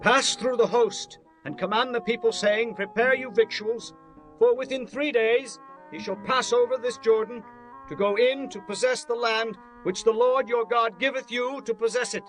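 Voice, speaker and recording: An actor playing a commanding leader of ancient Israel, declaiming in a dramatized scripture reading. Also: male voice, extremely high pitch, fairly even intonation, moderate pace, fairly bright tone, soft background music, low-quality recording.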